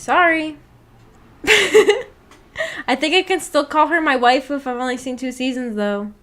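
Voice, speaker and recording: A woman's voice: high-pitched, a little slow, a bit bright. A woman streamer chatting casually with her viewers in a giggly, playfully teasing and slangy way. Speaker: streamer reading and answering viewer chat. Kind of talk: casual livestream chat.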